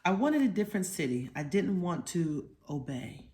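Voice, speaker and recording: An eager young storybook boy, read by a woman in a fairly low voice proudly retells his part in a Bible play. The telling is dramatic, like a child recounting an adventure. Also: sing-song, moderate pace, noisy background.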